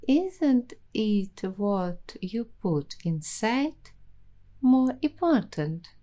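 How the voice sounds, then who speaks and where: A woman hosting an ASMR roleplay, talking softly and slipping into whispers now and then. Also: a little high, big pitch swings, extremely slow. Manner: soft-spoken, slipping into whispers. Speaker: ASMR host.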